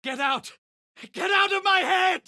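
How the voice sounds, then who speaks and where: A man playing a haughty, self-assured fantasy adventurer in a video game, speaking in a measured, superior way. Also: extremely high pitch, sharp tone, no echo, studio quality, full character voice. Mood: anguished. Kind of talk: video game character voice lines.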